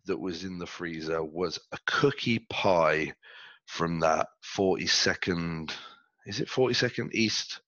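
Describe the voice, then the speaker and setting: A man's voice: low voice, a little slow, fairly bright tone, dry recording, dull audio. Stuffy, laid-back guy. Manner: laid-back, slangy, rambling. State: stuffy, under the weather. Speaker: podcast co-host. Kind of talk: casual chat. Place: reclined in a chair at home. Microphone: headset.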